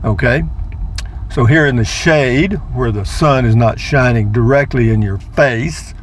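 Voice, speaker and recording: A man's voice: mid-range voice, measured pace, a little hiss or hum. An older man, narrating a casual vlog from inside his car, chatty and rambling, repeating words playfully. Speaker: vlogger.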